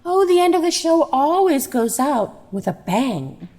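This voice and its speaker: Woman playing a high-voiced, animated drama director. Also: a little slow. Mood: encouraging, brisk. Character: encouraging, focused female high school drama director. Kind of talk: audio drama dialogue.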